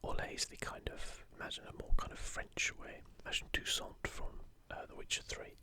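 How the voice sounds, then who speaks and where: A video host speaking in a soft, unvoiced ASMR whisper, unhurried, hushed and informal. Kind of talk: whispered ASMR, leafing through and commenting on an art book.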